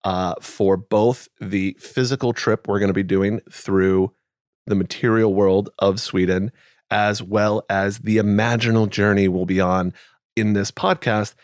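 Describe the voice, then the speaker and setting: A man hosting a podcast in casual chat, relaxed and thinking aloud with fillers. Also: on the low side, measured pace, no room sound, clean studio sound. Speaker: podcast host.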